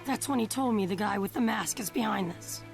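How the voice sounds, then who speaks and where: A woman voicing an earnest, headstrong teenage ninja boy in a video game dub, with some rasp in the voice. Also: medium pitch, brisk. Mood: urgent. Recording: music under the dialogue.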